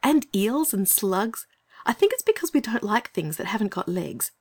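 A man voicing a sensible young girl in a storybook who reasons things out in a hushed way. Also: high voice, sing-song, moderate pace, breathy edge, pitched above the performer's own voice, exaggerated voice. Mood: thoughtful.

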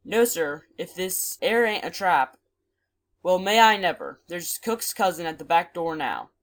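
A woman's voice: on the low side, lively intonation, measured pace. A woman voicing a wary, stubborn manservant, firm and rustic in phrasing, in a storybook reading. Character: wary, stubborn manservant, a plain-spoken country man.

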